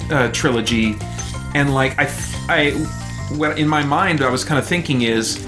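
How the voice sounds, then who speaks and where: A man's voice: mid-range voice, moderate pace. Casual man hosting a livestream, music behind. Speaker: livestream host.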